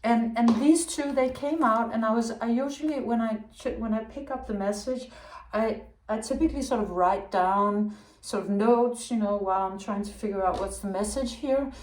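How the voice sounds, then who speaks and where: A woman's voice: a little high, moderate pace, a little mellow. Woman, tarot reader, wandering and self-correcting, echoey room. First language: Danish. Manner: rambling, searching for words with many restarts. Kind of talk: intuitive tarot reading monologue.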